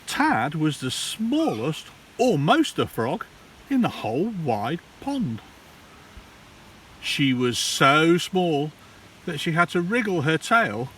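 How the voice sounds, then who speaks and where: A man, a primary school teacher, reads a children's storybook aloud in a theatrical, deliberate way with dramatic pauses. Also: a little high, a bit bright. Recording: distant mic, hissy background noise.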